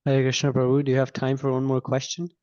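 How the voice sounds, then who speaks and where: A man's voice: medium pitch, monotone, quick pace, warm tone, lo-fi sound. A man asking a question hesitantly, with many restarts. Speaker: audience member asking questions.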